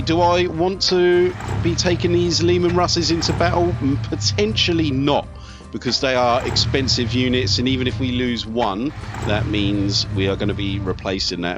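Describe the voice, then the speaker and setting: A middle-aged man giving casual let's play commentary on a strategy game, talking conversationally as he thinks aloud. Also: mid-range voice. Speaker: video host, gamer. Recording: game music behind the voice.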